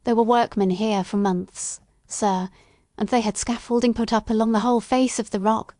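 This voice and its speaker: A reader voicing a plain-spoken widowed woman housekeeper, deferential and unhurried, in an audiobook. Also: fairly high pitch, fairly even intonation, darkish tone, no room sound, studio quality, pitched above the performer's own voice, lightly acted. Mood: respectful, reminiscing. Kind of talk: audiobook character dialogue.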